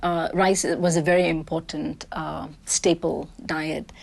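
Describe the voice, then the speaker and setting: A woman historian explains in an expert interview, lecturing with occasional fillers. Her voice is on the lower side for a woman, and her delivery is clear and explanatory. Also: level tone, measured pace.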